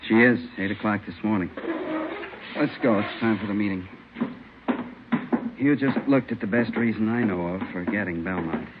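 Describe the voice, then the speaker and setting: An actor playing a businesslike police captain in an old radio drama, speaking plainly and briskly. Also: male voice, on the low side, lightly acted. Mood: grim, urgent. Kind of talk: 1950s radio acting. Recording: very noisy, muffled old radio recording.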